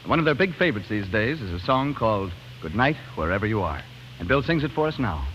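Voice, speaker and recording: Male 1940s announcer, animated and brisk, scripted banter, noisy lo-fi broadcast sound. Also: lowish voice. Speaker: radio announcer.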